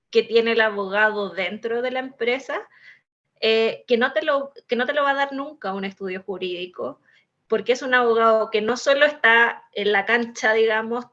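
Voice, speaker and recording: A woman's voice: fairly high pitch, fairly bright tone, highs cut off. A woman with a Chilean accent, an in-house legal manager speaking on a panel in a discursive, explanatory way with many hesitations.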